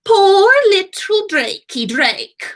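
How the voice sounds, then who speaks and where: A woman's voice: very high-pitched, very animated, takes it slowly, fairly bright tone, voice pushed up, exaggerated voice. A woman voicing a mischievous male poltergeist who taunts in a mocking singsong during a story reading.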